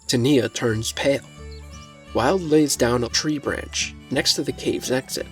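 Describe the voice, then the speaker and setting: A man's voice: medium pitch, a little slow, a bit bright. A man narrating a scripted fantasy story in the present tense as its narrator. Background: faint music.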